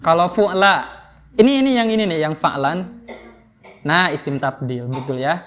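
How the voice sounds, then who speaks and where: A man's voice: fairly high pitch, moderate pace, fairly bright tone. A man with an Indonesian accent teaching Arabic grammar informally, explaining and prompting students with questions. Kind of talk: interactive lesson, explaining and quizzing students.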